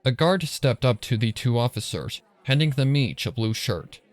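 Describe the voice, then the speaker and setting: A man's voice: mid-range voice, animated, moderate pace, a faint crowd. A man reading scripted dramatic story narration for a fan fiction.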